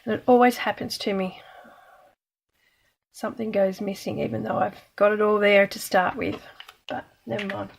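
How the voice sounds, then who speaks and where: A middle-aged woman from Australia, slightly croaky today, chatting casually and self-deprecatingly while she demonstrates card-making. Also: medium pitch, fairly even intonation, moderate pace, pauses often, a little hiss or hum, low-quality recording. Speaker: craft demonstrator.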